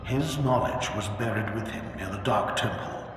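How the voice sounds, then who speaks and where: An actor voicing a stern, ancient Sith ancestor ghost in a video game, grave and imperious, with archaic phrasing. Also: male voice, on the low side, normal speed, close to an everyday voice. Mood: solemn, instructing. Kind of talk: dramatic video game acting. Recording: heavy echoing reverb over music.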